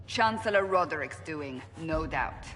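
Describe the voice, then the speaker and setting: A woman playing a stern, determined warrior leader in a fantasy game, blunt and deliberate in manner. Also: deep voice, animated, a bit bright. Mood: insistent. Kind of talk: dramatic fantasy game acting. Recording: reverberant hall echo.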